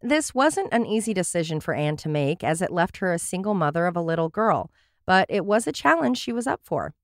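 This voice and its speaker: A woman's voice: lowish voice, dry recording, clean studio sound. A woman hosting a true crime podcast, reading her case notes with wry, sarcastic asides. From Portland area, USA.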